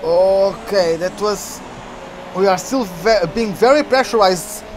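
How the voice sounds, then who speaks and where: A man from Portugal, streaming a racing game and chatting excitedly through the action. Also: sits high, takes it slowly, noisy background. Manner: chatty, reacts excitedly to the race. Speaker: gaming streamer. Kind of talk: live game commentary.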